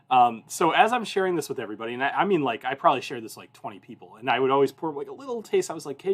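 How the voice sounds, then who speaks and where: Male host, 30s, mid-pitched voice; informal livestream chat, loose anecdotal delivery that wanders and doubles back. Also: a bit bright, slightly rough. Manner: informal, rambling, self-interrupting. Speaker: whiskey review show host.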